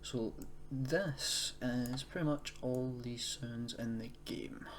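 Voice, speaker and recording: A man's voice: medium pitch, slow pace. A man presenting a casual screen-recorded tutorial, explaining in an unscripted, hesitant way. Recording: noisy home recording, keyboard typing.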